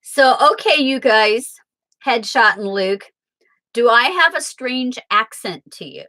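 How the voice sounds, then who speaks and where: A woman's voice: on the high side, lively intonation, takes it slowly, sharp tone. A woman from Southern Illinois hosting a tarot livestream, chatting casually and answering viewer comments aloud. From Southern Illinois, USA. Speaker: tarot reader and livestream host.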